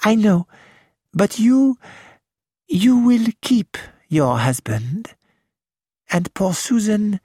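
A woman's voice: medium pitch, lively intonation, extremely slow, long pauses, dark tone, breathy edge, no room sound, clean studio sound, heavily acted. A woman reading the part of a fussy elderly male detective with a French-flavoured manner, polite and precise. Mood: courteous.

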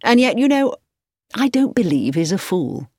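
A woman's voice: medium pitch, sing-song, normal speed, darkish tone, slightly rough, no room sound, studio quality, pitched above the performer's own voice. A woman voicing a cheerful, admiring young man who exclaims eagerly.

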